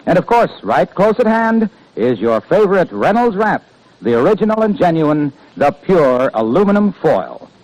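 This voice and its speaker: A man with an American accent announcing and pitching in polished, salesmanlike 1950s radio style. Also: sing-song, normal speed, a little mellow, low-quality recording.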